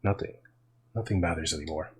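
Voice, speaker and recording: A man's voice: very deep voice, fairly quick, darkish tone, slightly rough, full character voice. A man doing a lonely, tearful young girl.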